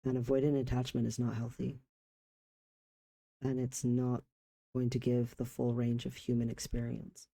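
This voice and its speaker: A man of about forty talking reflectively in a personal vlog, halting in short phrases with pauses. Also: mid-range voice, completely flat delivery, creaky, warm tone. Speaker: vlogger.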